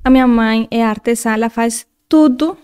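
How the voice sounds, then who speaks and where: A woman's voice: on the high side, moderate pace, darkish tone, clear, resonant tone. A Colombian woman speaking with a Spanish accent as a guest, talking earnestly in casual conversation and restarting her phrases often. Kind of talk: casual interview chat.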